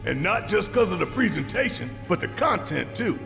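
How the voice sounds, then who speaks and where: An actor playing a gruff, suspicious, hot-tempered rebel fighter in a video game, gravelly and brash with streetwise slang. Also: male voice, high voice, very animated, moderate pace, big-room sound, over music, heavily acted. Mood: disgusted. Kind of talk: dramatic video game acting.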